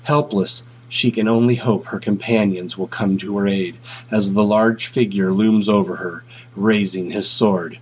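A man narrates a story from a script. His voice sits on the low side and has a husky grain. The recording is dull and lo-fi. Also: steady tone, unhurried, darkish tone. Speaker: fan fiction reader. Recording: dull, lo-fi audio.

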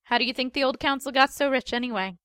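A woman's voice: high voice, quick pace, sharp tone, dry recording, pitched above the performer's own voice. A cocky young woman who fights monsters, acted by a story reader talks in a breezy, teasing way. Her delivery is casual, in a close, dry sound. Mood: amused.

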